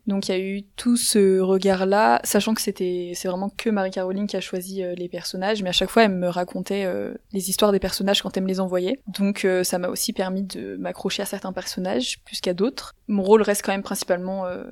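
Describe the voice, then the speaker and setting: A woman's voice: medium pitch, little pitch movement, a little fast, hardly any pauses, a little mellow, no echo, studio quality. Hesitant woman illustrator. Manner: hesitant, with fillers. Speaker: illustrator, guest. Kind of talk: casual interview chat.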